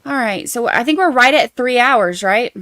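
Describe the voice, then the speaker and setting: A woman's voice: a little high, lively intonation, moderate pace, fairly bright tone, no room sound. A woman with a Southern Georgia accent hosting a casual livestream, rambling and self-deprecating as she chats to her viewers. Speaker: livestream host covering trials.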